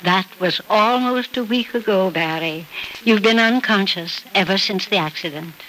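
A woman playing a gentle, protective middle-aged mother in a classic radio soap opera, speaking in careful, hushing reassurance. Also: mid-range voice, moderate pace, lightly acted, rough recording. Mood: soothing. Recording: old radio recording with faint noise.

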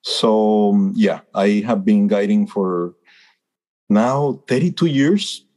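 A man's voice: on the low side, slow pace, a little mellow. A man in his fifties from Costa Rica, with a Costa Rican Spanish accent, speaking as a birding guide in an interview. His answers are earnest and hesitant, with many fillers and restarts. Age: 50s.

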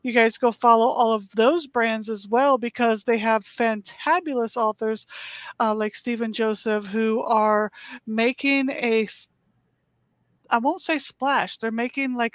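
A woman's voice: fairly high pitch, no echo. A middle-aged woman hosting a podcast, chatting casually and bubbly, laughing often. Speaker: podcast host. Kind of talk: casual interview chat.

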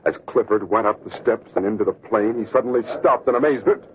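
A man's voice: on the low side, quick pace, a little hiss or hum. A man reading scripted narration for a 1940s-style radio drama. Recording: tinny vintage radio with faint music.

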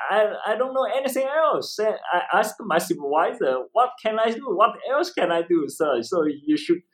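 Male academic guest, Chinese accent, high voice with very animated pitch, conversational, repeats himself and tags points with "right?". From eastern China. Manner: repeats phrases, often tags statements with "right?". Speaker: interview guest, history professor and author. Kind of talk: conversational explanation in an interview.

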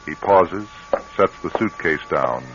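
An American man narrating a radio drama in a measured, grave, dramatic style. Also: sits low, expressive. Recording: muffled vintage radio drama recording. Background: dramatic music.

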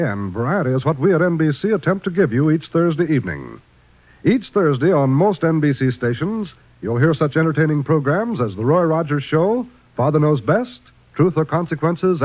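An American man working as a radio announcer, reading scripted copy in a polished, genial 1950s showman style. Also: a little high. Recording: muffled old radio broadcast. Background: music.

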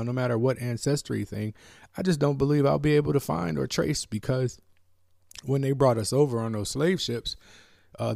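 A man from the United States hosting a podcast, talking alone in a reflective, halting way with many fillers and restarts. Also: mid-range voice, creaky, mellow, dry recording, studio quality. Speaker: podcast host. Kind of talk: reflective solo talk.

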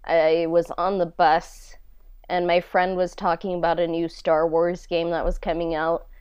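Smooth, lowish-voiced woman chatting casually. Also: little pitch movement, measured pace, a little mellow, no room sound. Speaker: podcast co-host being interviewed. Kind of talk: casual chat, telling personal stories.

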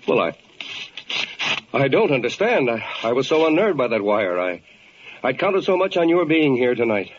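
An actor playing a flustered, weary, compassionate hospital chief in old-time radio drama, with a halting, emotional delivery. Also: male voice, medium pitch, very animated, lightly acted. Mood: flustered, shaken. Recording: dull, hissy old radio recording.

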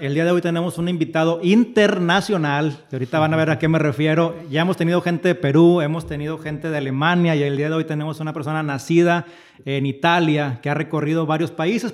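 A man from hosting a podcast interview, upbeat and encouraging as he introduces and questions his guest. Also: on the high side. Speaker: podcast host.

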